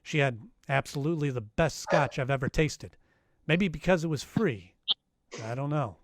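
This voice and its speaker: A man's voice: fairly high pitch, moderate pace, no echo, studio quality, close to an everyday voice. A man playing a sardonic, wisecracking private detective in the style of 1940s radio drama. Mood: wry narration. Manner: sardonic, taunting, wry. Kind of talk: 1940s radio detective acting, live performance. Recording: noisy home live-stream recording.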